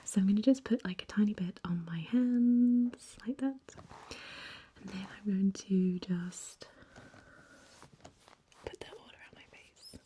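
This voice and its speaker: A young woman speaking very softly in a gentle, halting ASMR makeup chat, her whispery voice slightly croaky and hoarse after an illness. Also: medium pitch, slight background noise. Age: young adult. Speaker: ASMR vlogger.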